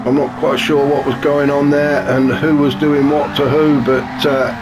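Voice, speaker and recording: A man commentating a sim race in a chatty, wry way, his voice a bit gravelly and snuffly from a cold. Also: a little high, level tone, a little slow. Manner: chatty and wry, many fillers. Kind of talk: live sim racing commentary. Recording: noisy with car engine sounds behind.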